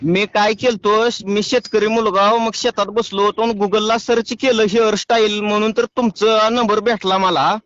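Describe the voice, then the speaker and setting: A man's voice: high-pitched, level tone, moderate pace, few pauses, fairly bright tone. A man with a rural Marathi accent making a prank phone call, pleading and persistent in a rustic way. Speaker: prank caller and show host. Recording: dull lo-fi phone call audio.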